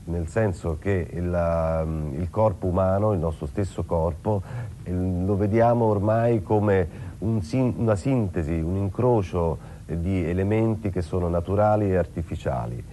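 A man's voice: deep voice, unhurried, warm tone, slight background noise. A man giving reflective commentary in an interview, choosing his words hesitantly. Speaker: commentator on theatre and the body.

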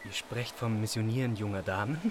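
An actor playing a jovial, playful philosopher friend in an audio drama, genial and teasing. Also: male voice, on the low side, fairly even intonation, fairly quick, natural voice. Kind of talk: German audio drama acting. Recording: noisy background under the voice.

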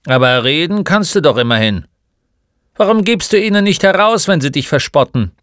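A man playing a gruff, mocking old shopkeeper in a storybook, with a blunt, grumbling manner. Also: on the high side, animated, normal speed, a bit bright, dry recording, clean studio sound, voice pushed up. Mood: scornful. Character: gruff, mocking old male bookshop keeper. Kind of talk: storybook character voice.

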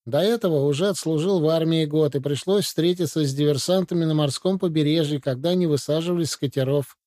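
A polite, earnest young officer, as a man reads him in an audiobook. The voice is slightly high but mellow, caught dry and close with no room sound. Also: fast, dry recording, natural voice. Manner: polite, explanatory. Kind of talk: audiobook reading.

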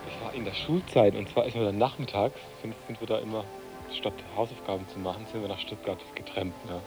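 A man's voice: fairly low pitch, steady tone, fairly quick, music in the background. A man from southern Germany reminiscing in a casual, conversational way. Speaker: interviewee.

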